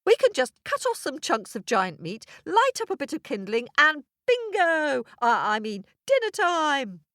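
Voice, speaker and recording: A woman voicing a boastful, hungry male coyote trickster in a told story, cocky and quick-talking. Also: on the high side, sing-song, fairly bright tone, no echo, studio quality, pitched above the performer's own voice. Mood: excited. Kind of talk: storybook character voice.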